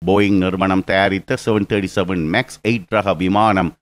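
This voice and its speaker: A man reading scripted news explainer narration in a measured, explanatory way. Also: low voice, steady tone.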